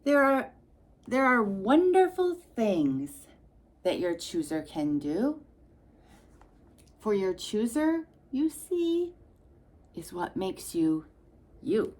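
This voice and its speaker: A woman reading a storybook aloud to young children, expressive and sing-song, with chatty asides addressed straight to the listeners. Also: medium pitch, takes it slowly, long pauses.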